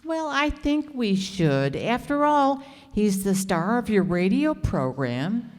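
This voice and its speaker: A woman's voice: mid-range voice, sing-song, takes it slowly, a little mellow, faint music behind. A woman playing a patient, polite society wife in an old-time radio comedy. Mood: polite, firm. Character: patient, polite society wife gently contradicting her husband. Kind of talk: 1940s radio comedy acting. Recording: reverberant hall.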